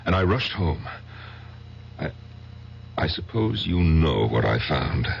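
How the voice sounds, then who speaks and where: Very deep-voiced, wounded insecure husband. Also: male voice, sing-song, creaky, a little hiss or hum, exaggerated voice. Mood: anguished. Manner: halting, self-deprecating, dramatic. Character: short, insecure husband, besotted and wounded, confessing his past. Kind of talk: old-time radio drama acting, confessional narration. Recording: dull lo-fi old radio broadcast, music behind.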